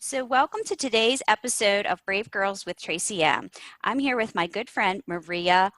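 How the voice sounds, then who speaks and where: A woman's voice: medium pitch, moderate pace, a bit bright. A woman hosting a podcast who reads her intro and then chats with her guest in a friendly way. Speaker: podcast host.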